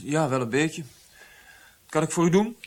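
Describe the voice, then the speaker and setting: An actor playing a proud, defiant physician in a radio drama, curt and politely sarcastic. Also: male voice, a little high, expressive, normal speed. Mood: guarded. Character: proud Italian court physician, defiant and dry.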